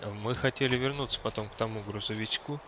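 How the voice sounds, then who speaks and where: A man's voice: lowish voice, steady tone, quick pace, crowd noise. A man chatting casually with his teammates while playing a game together. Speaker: gamer in a group session. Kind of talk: casual gaming chat. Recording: clearly noisy voice-chat microphone.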